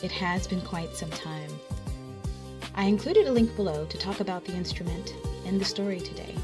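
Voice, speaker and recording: A woman storyteller narrating a children's folktale in an expressive style. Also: fairly low pitch, normal speed. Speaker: storyteller and host. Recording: music behind the voice.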